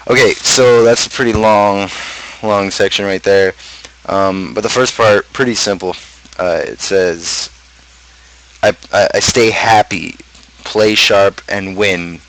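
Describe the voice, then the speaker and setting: Low, monotone man talking casually. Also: poor audio. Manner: halting, repeats and restarts phrases. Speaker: streamer and rapper. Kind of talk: casual talk, explaining own song lyrics.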